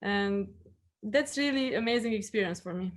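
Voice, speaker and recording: A woman's voice: medium pitch, normal speed. A young woman from Serbia with a Serbian accent, answering interview questions in English with frequent fillers and repeated words. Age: young adult. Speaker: guest.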